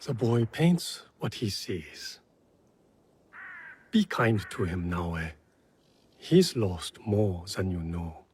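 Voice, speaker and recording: An actor playing a kindly older male temple healer in a video game, husky, measured and gently wry. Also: low voice, expressive, exaggerated voice. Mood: gently reproving. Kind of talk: video game cutscene acting.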